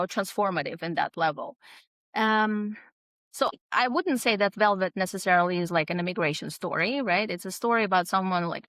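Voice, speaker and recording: A woman speaking as an interview guest, reflective and conversational, pausing often as she thinks aloud. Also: medium pitch, fairly bright tone, dry recording. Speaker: podcast guest, author.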